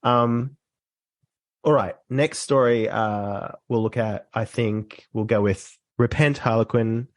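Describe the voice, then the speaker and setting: A man guesting on a book podcast, relaxed and chatty, laughing easily. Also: medium pitch, takes it slowly, dry recording. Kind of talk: casual chat about books.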